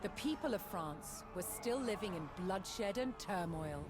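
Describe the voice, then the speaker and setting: A woman reading scripted historical documentary narration in a measured, dramatic storytelling manner with deliberate pauses. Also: mid-range voice, animated. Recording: studio narration over background music. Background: music.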